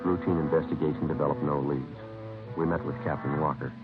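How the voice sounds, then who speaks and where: An actor playing a terse, businesslike American police detective in a 1950s radio crime drama, clipped and deadpan. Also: male voice, extremely low pitch, fairly quick. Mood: matter-of-fact. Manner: clipped, deadpan, matter-of-fact. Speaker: police detective narrator and lead. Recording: muffled vintage radio recording with music.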